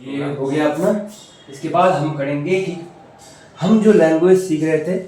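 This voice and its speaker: A man's voice: fairly high pitch, unhurried, dark tone. A man teaching informally, explaining step by step, often repeating himself and asking rhetorical questions. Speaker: programming teacher. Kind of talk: informal spoken tutorial explanation. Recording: very echoey room, distant mic, faint noise.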